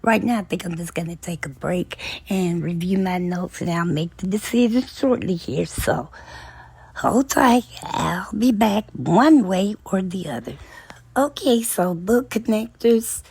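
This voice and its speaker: A woman hosting a book channel, retelling a novel's plot in a relaxed, storytelling way, her voice raspy and hoarse from talking a long time. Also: medium pitch, a little slow, dry recording. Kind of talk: casual book recap.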